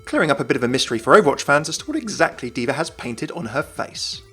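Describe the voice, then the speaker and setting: A man's voice: a little high, big pitch swings, fast talker, a bit bright, husky, soft background music. A man narrating a scripted video game explainer as a YouTube host, enthusiastic and explanatory.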